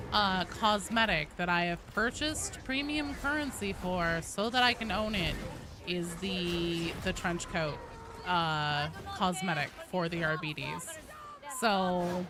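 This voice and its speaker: A woman streaming a game, chatting casually in a blunt, rambling, sweary way as she plays. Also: on the low side. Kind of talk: casual chat while playing a game live.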